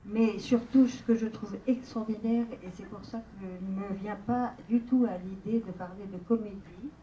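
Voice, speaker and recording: A woman from Algeria in the audience giving earnest, measured praise of the film. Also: medium pitch, mellow. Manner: earnest, measured, repeats words. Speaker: audience member. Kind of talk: spoken praise in a Q&A. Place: large cinema hall. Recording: distant voice with faint room noise.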